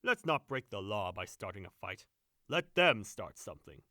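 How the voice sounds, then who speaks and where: A man performs a brash young servant boasting crudely in an audio play. The voice is bright and swings widely in pitch. It is recorded dry and close. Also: big pitch swings, moderate pace, no echo. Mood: cocky. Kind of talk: audio drama acting.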